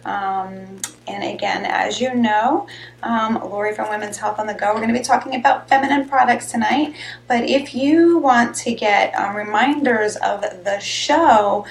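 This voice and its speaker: A woman hosting a live health show, chatting casually and rambling a little, with a slightly hoarse, raspy voice. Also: medium pitch, measured pace. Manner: chatty, rambling, greets viewers by name. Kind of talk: casual live-stream chat. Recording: echoey room.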